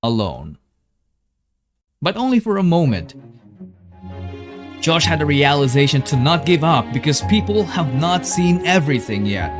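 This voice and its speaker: A man's voice: on the high side, big pitch swings, unhurried, long pauses. A man reading scripted narration as a documentary narrator. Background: background music.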